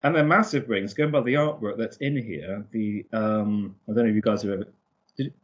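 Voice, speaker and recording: A man's voice: on the low side, fairly quick, husky, lo-fi sound. A man co-hosting a podcast with a casual book recap, hesitant and often searching for words. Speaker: podcast co-host.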